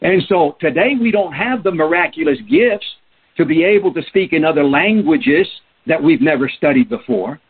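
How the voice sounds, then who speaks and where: Husky preacher on a tinny line. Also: male voice, on the high side, lively intonation, moderate pace. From Peru. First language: Spanish. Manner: earnest, emphatic, asks rhetorical questions. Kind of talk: sermon. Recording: tinny phone-like sound.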